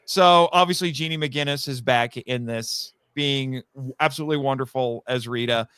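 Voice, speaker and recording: Clear-voiced man in rambling podcast chat. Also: mid-range voice, moderate pace, fairly bright tone, no room sound, clean studio sound. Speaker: guest, audio drama writer. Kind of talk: casual live podcast chat.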